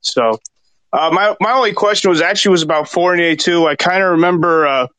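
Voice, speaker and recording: A male caller with a slightly raised pitch asks a long, rambling question on a sports show. He stops now and then to recall details. His voice sounds close and dry. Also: a little fast, pushed and bright, no echo, poor audio. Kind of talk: casual call-in question.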